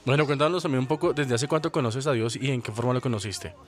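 A man's voice: medium pitch, fast talker, soft background music. A man hosting an interview, asking questions and responding with friendly affirmations. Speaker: radio show host.

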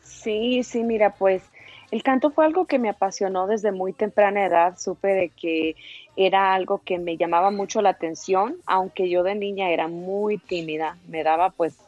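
A woman's voice: medium pitch, normal speed, darkish tone, no echo, faint music behind. A woman in her thirties, born in El Salvador, talking as a guest on an interview. She is chatty and laughs often, and her voice comes through dry and a little dull. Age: 30s. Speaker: singer, guest. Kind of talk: interview answers.

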